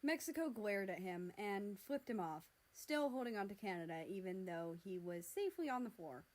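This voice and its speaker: A woman's voice: medium pitch, expressive, normal speed, darkish tone. Woman reading a story aloud. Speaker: fan fiction reader. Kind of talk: scripted story narration with character voices.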